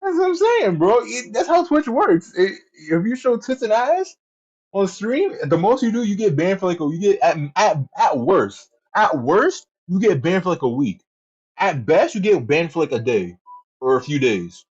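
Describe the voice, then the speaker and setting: A male streamer talking off the cuff to his chat, swearing freely and tripping over his own words as he loops back on phrases. His voice sits a little higher than most men's. The stream audio is dull and lo-fi. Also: big pitch swings, moderate pace, darkish tone, breathy edge. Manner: rambling, profane, repeats himself. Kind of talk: casual livestream chat.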